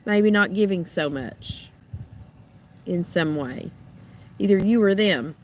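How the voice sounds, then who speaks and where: A woman lays out a tarot reading, thinking aloud in loose, trailing phrases. Her voice is a little rough and raspy from being sick. It sits at an ordinary middle pitch. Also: expressive, takes it slowly, a little mellow, a little hiss or hum. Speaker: tarot reader. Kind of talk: unscripted tarot card reading.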